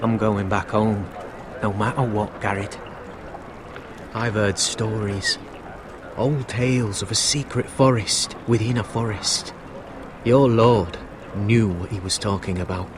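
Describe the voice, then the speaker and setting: An actor playing a friendly, uneasy young wildling man in a video game, speaking in a halting, confiding way. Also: lowish voice, fairly even intonation. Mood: earnest, guarded. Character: friendly young wildling man hiding among sworn watchmen, earnest, uneasy. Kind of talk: dramatic video game voice acting. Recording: clearly noisy outdoor ambience.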